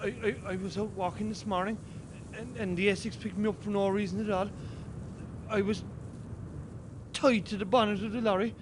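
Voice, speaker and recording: An actor playing a meek, frightened civilian captive in a radio play, stammering and deferential. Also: male voice, sits high, steady tone, normal speed, long pauses, background noise, lightly acted. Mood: terrified pleading.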